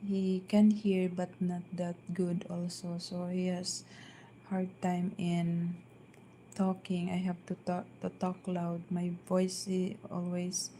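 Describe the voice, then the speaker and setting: Soft-spoken filipina lady chatting on a live stream at home, slow and flat, lots of ums, faint hum behind. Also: on the low side, poor audio. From Philippines. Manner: hesitant, many fillers and restarts. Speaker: vlogger. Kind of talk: casual live-stream chat. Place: downstairs at home.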